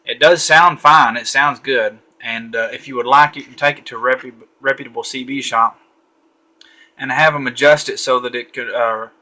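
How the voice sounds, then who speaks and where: A man's voice: medium pitch, steady tone, moderate pace, bright, forceful tone. A man giving a casual, easygoing walkthrough of a radio at a home desk late at night, thinking aloud with frequent fillers. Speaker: radio reviewer.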